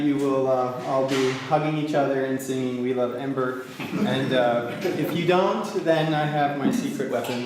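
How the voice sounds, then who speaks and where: Man speaking in a very echoey hall. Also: fairly high pitch. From Austria. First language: German. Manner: halting, many fillers and restarts; jokey, self-deprecating. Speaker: conference speaker. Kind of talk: meetup tech talk. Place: large echoey hall with a crowd. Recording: very echoey hall, faint noise.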